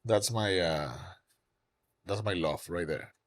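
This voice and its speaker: A man's voice: low voice, slow pace. A man in his thirties with a Cuban accent, a songwriter guest chatting casually, rambling with lots of "you know, like" fillers. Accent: Cuban Spanish. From Cuba. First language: Spanish. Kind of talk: casual interview chat.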